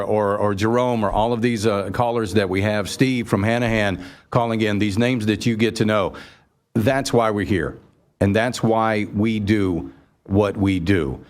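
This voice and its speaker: American man hosting live radio, effusive talk. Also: lowish voice. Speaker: radio show host.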